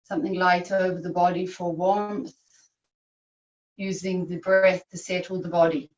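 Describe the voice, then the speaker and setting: A woman teaching yoga nidra, guiding a meditation in a soothing, unhurried manner with long pauses. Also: on the low side, little pitch movement, lo-fi sound. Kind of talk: guided meditation instruction. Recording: echoey room, distant microphone.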